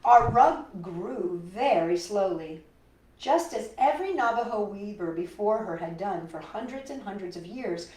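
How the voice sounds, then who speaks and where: Female storytime host, very lively pitch, expressive read-aloud with chatty asides, recorded at a distance in an echoey room. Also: unhurried. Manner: expressive, childlike wonder, rhetorical questions to listeners. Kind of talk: reading a picture book aloud to children with chatty asides. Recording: roomy echo, distant microphone.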